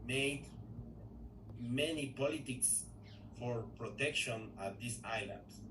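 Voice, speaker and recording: Male English learner, Ecuadorian Spanish accent, hesitant and slow, distant mic with a faint hiss. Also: medium pitch. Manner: hesitant, many fillers and restarts. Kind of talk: halting conversation in English class. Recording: echoey room, distant mic, faint noise.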